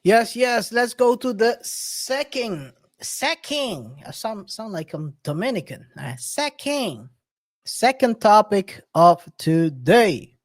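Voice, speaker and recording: Lively woman hosting a commentary show. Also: mid-range voice, takes it slowly. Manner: animated, repeats words while reading. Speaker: show host. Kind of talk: casual commentary chat.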